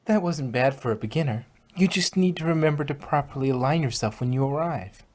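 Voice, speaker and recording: Woman acting a husky, low-voiced cartoon pony. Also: brisk, a little mellow. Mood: patient, matter-of-fact. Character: young cartoon ponies, grumbling or patiently instructive. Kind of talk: amateur cartoon fan fiction voice acting.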